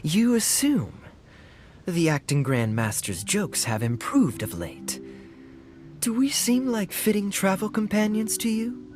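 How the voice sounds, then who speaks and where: A man's voice: high voice, very animated, unhurried, a little mellow. A man playing a suave, smug young knight in a video game, easygoing, playful and insinuating in manner. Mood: teasing. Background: background music.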